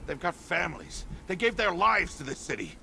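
Gravelly, guilt-ridden fire chief. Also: male voice, fairly high pitch, big pitch swings, pushed and bright, slight background noise, exaggerated voice. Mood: defensive pleading. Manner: pleading, earnest, halting. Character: guilt-ridden male fire chief, loyal to his crew. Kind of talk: video game voice acting.

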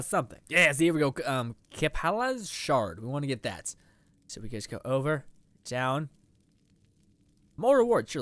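An eighteen-year-old man giving rambling, casual let's play commentary, his voice a little raspy after eating. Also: on the high side, no echo. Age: teens. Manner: rambling, chatty, lots of fillers. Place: indoor room.

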